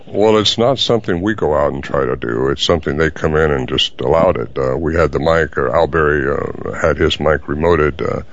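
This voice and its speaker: An older man answering interview questions in a halting way, with many fillers. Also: rumbling low, a little hiss or hum. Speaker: guest. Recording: dull lo-fi audio.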